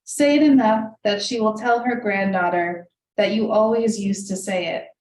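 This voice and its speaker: A first-generation Hungarian-American woman, a native Hungarian speaker, reads her poems aloud in a measured, deliberate way, then adds loose asides full of ums. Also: medium pitch, darkish tone. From United States, Hungarian family. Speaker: poet reading her work. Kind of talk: live poetry reading with spoken asides. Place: reading room of a library, indoors. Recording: echoey room, voice from far off, faint hiss.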